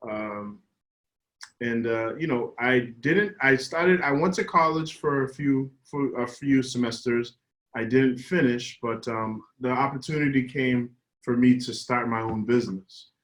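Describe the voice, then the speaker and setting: A young man tells his story in a mid-pitched, animated way. He trips over restarts and keeps the tone casual and friendly. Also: measured pace. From Somerville, Massachusetts, US. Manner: animated, laughing, stumbling restarts. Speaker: business owner, guest. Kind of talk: casual interview answers.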